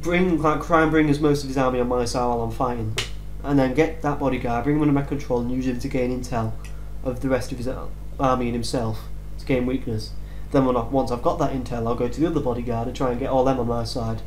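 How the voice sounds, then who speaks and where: A man's voice: medium pitch, fairly even intonation, fast, few pauses. A man co-hosting a casual gaming chat show, eagerly laying out his game tactics at length. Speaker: co-host. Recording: echoey room with faint hum.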